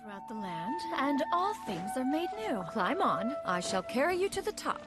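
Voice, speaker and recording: An actress playing an aloof, courteous immortal in a video game, with formal, archaic, poised diction. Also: female voice, high voice, sing-song, normal speed. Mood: stately, calm. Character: aloof, courteous female immortal who carries others skyward. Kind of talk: dramatic video game dialogue. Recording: loud music under the voice.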